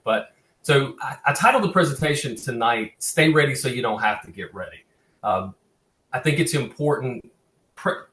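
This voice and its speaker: A man's voice: lowish voice, a bit bright. A middle-aged man with a Southern US accent giving an informal online lecture, often repeating words as he goes. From American South. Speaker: guest presenter, cemetery conservation consultant. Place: online video call, echoey room. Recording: roomy, echoing webinar audio.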